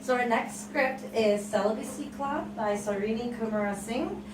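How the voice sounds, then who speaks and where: A woman hosting an event with informal, halting, apologetic introductions. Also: on the high side. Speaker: event host. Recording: echoey room, distant, clear noise.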